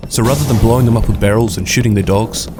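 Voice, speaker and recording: A man with a New Zealand accent narrates game lore as the host of a video. His voice sits on the low side. Music plays prominently behind his scripted delivery. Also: fast. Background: prominent background music.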